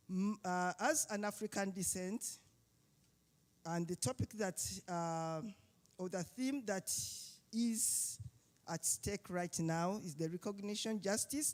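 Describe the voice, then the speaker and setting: A speaker from Uganda, giving a halting panel talk with many fillers and restarts. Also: mid-range voice, darkish tone. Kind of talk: summit panel speech.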